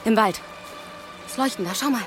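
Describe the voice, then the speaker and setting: A woman playing a fearless young archer in a German audio drama, blunt, brisk and defiant. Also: fairly high pitch, background noise, natural voice. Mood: alert, commanding.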